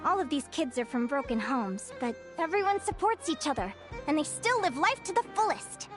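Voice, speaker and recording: A woman's voice: high voice, big pitch swings, moderate pace, music in the background. A woman playing an earnest, bookish young fae naturalist who tells her story in an articulate, thoughtful way, as video game dialogue. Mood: reflective.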